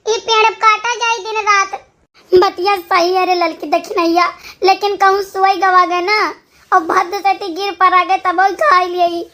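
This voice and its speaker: A performer voicing a fretful, panicky cartoon village woman with a squeaky high voice and an Awadhi accent, wailing and pleading in comic exclamations. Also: pushed and bright, full character voice. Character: panicky squeaky village women fleeing a tiger, fretful and squabbling. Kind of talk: animated comedy dialogue in cartoon skit.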